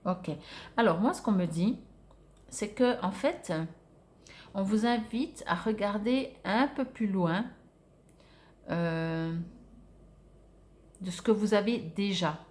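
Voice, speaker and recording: A woman's voice: medium pitch, slow pace, long pauses, darkish tone. A woman giving an informal spiritual card reading to viewers, speaking conversationally and hesitantly as she searches for words. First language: French. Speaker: oracle card reader.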